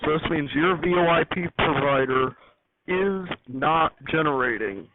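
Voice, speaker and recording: A man's voice: a little high, expressive, measured pace. A man giving an unscripted technical walkthrough as a hobbyist host, rambling and correcting himself as he explains. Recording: noisy, tinny phone-like audio.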